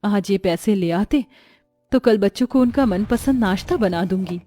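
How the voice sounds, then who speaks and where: A woman playing a gentle, worried, patient mother and wife in a moral-story cartoon. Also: medium pitch, moderate pace, soft background music, lightly acted.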